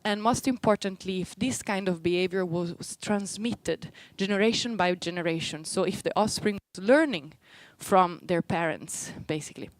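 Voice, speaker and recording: A woman's voice: on the low side, normal speed, few pauses, no room sound, rough recording. A young woman from Rome with an Italian accent, giving an informal academic talk and explaining things step by step as she goes. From Rome, Italy. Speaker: postdoctoral researcher giving a seminar. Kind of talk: informal academic presentation to a live and streamed audience.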